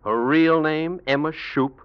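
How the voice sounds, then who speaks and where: An actor playing an earnest, wronged rival who speaks pointedly, in 1940s radio drama style. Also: male voice, on the high side, a little slow, clear, resonant tone, natural voice. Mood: pointed, triumphant. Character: wronged male rival, earnest, quietly triumphant accuser. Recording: muffled vintage radio recording.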